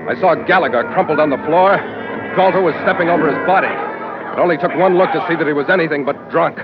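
An actor playing a tough, wry, hard-boiled sea captain in an old radio drama, terse and laconic. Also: male voice, fairly high pitch, fast, a loud crowd, lightly acted. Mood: tense, angry. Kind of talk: old-time radio drama acting and narration. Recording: muffled old radio, music behind.

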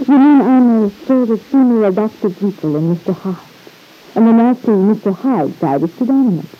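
A woman's voice: mid-range voice, lively intonation, moderate pace, lightly acted. An actress playing a proud, hard-edged young married woman in an old-time radio drama, with cool, sardonic retorts. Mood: sardonic, brittle. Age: young adult. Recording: dull, lo-fi vintage radio recording.

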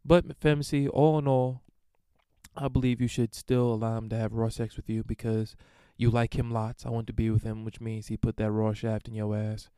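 A man talks alone into a dry, close recording, his voice smooth and warm. He rambles through listener emails with crude, irreverent jokes and lots of fillers, in an unhurried host's monologue. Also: lowish voice, level tone, no room sound. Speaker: podcast host answering listener emails. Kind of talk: casual solo monologue.

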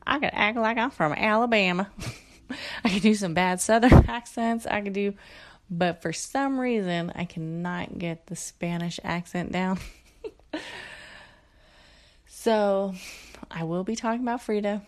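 A woman's voice: medium pitch, measured pace, pauses often. A woman hosting her own podcast alone, chatting casually and swearing freely, with lots of fillers and restarts. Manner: profane, frequent fillers and restarts. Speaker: podcast host. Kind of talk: casual solo podcast monologue.

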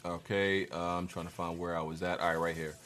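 A man's voice: low-pitched, level tone, fairly quick, fairly bright tone, slight background noise. A man hosting a casual livestream, reading viewer comments aloud and adding dry, laid-back remarks. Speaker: host reading viewer super chats.

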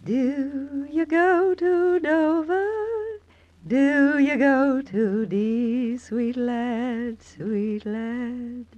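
Wistful, very slow high-voiced singer. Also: female voice, fairly even intonation. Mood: wistful singing. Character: melancholy, flirtatious Victorian London streetwalker singing softly. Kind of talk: slow sung ballad in radio drama. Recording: dull old radio recording.

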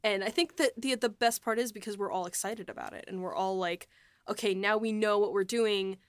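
A woman's voice: medium pitch, brisk, no room sound. A woman hosting a casual podcast chat, gushing and enthusiastic in how she talks. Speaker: podcast host and audio drama writer.

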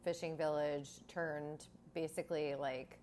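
A woman's voice: low-pitched, level tone, unhurried, a little mellow, slight background noise. A woman hosting a podcast, chatting casually and using a lot of filler words. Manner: chatty, many "like"s. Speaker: podcast host. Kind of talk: casual interview.

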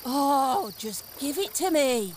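A woman's voice: high-pitched, lively intonation, slow pace, slight background noise. A woman playing a small, fierce, coin-loving elf who is blunt, bossy and insulting in a fantasy comedy audio drama. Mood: urgent, exasperated.

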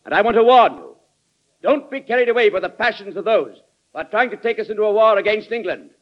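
An actor playing a combative, sharp-tongued cabinet secretary making speeches in old-time radio drama style. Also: male voice, high-pitched, lively intonation, fast. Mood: oratorical. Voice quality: oratorical. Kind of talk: 1940s radio acting. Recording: muffled old radio recording.

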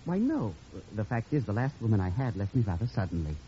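An actor playing a polite, businesslike gentleman caller in old-time radio comedy, formal and hesitant, easily exasperated. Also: fairly low pitch, very animated, quick pace. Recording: noisy, muffled old radio recording.

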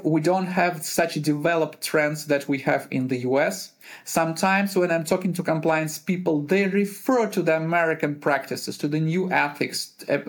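A man from Uzbekistan, a compliance lawyer and podcast guest, answering questions in a measured way, pausing to find his words in non-native phrasing. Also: a little high. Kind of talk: thoughtful conversational answers. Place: remote call, based in Europe.

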